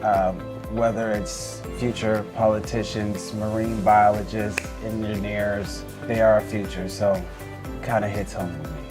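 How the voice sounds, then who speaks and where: A man's voice: lowish voice, little pitch movement, measured pace, music in the background. A man from the Indianapolis area working at a school, answering sincerely and plainly. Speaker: school staff member.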